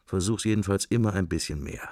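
An actor playing a brooding, furtive middle-aged man in a radio drama, murmuring his drifting, sensual thoughts in fragments with a gravelly, husky voice. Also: low-pitched, a little fast, a little mellow, no echo, studio quality, close to an everyday voice. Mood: wry, calculating. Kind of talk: radio drama interior monologue.

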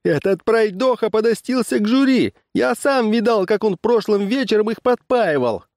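A man's voice: sits high, expressive, moderate pace, a little mellow, no echo, clean studio sound, pitched above the performer's own voice. A man voicing an aggrieved male farmer who accuses his rival in an audiobook story. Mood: outraged.

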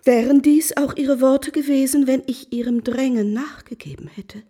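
High-voiced, proud, virtuous lady. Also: normal speed, warm tone, husky. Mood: indignant. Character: virtuous, proud, dignified married lady, mother, indignant. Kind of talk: German radio drama acting.

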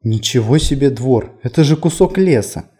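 Curious, timid woman visitor, read by a man, mellow. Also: medium pitch, animated, normal speed, close to an everyday voice. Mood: surprised, wondering. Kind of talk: audiobook character dialogue.

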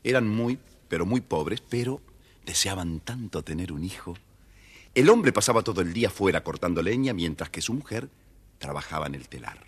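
A man narrating a children's fairy tale as a theatrical, husky-voiced storyteller. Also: low-pitched, animated, normal speed. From Argentina.

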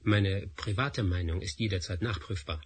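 A defiant runaway scientist. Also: male voice, deep voice, moderate pace, slightly rough. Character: driven male scientist on the run, defiant. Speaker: Doctor Spassky. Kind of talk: radio drama acting.

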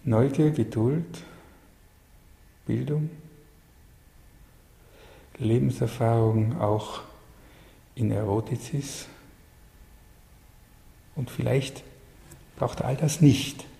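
A man's voice: mid-range voice, extremely slow, creaky, long pauses, dark tone. A man musing in an interview, pondering in short, aphoristic phrases. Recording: long echoing hall reverb.

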